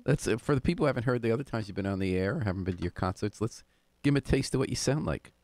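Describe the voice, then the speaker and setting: A man hosting a radio interview, asking brisk, curious questions. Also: fairly low pitch, lively intonation, a little mellow, no room sound. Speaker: radio host.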